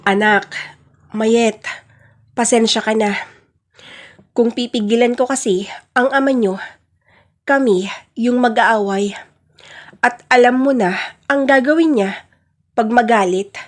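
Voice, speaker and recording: Submissive mother played by a woman, sorrowful, apologetic and resigned, fairly high voice, for radio drama. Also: slow, long pauses. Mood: sad, resigned. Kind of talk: Filipino radio-style family drama.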